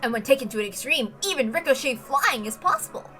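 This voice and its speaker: A woman's voice: sits high, expressive, fast, fairly bright tone, faint music behind. A woman reading a story aloud as dramatic scripted narration, with emphatic, theatrical delivery. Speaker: reader of a visual novel.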